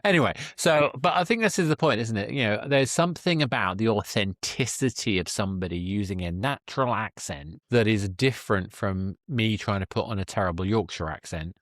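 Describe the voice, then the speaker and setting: A man's voice: fairly low pitch, a little fast, few pauses, a bit bright, no echo, studio quality. A man with a British accent, hosting a podcast interview in a conversational, explanatory way. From Britain. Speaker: podcast host.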